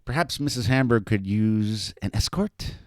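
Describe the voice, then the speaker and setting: A man's voice: medium pitch, big pitch swings, fairly quick, a little mellow, no echo, clean studio sound, pitched above the performer's own voice. Man reading as a sly, teasing soldier. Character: mischievous, joking soldier in a storybook.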